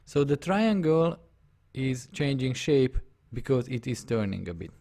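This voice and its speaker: A man's voice: mid-range voice, very animated, a little slow, mellow. A man with a Hungarian accent, a native Hungarian speaker, talking through a live drawing tutorial step by step and often checking that the viewer follows. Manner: narrates his on-screen steps, often checks the viewer follows.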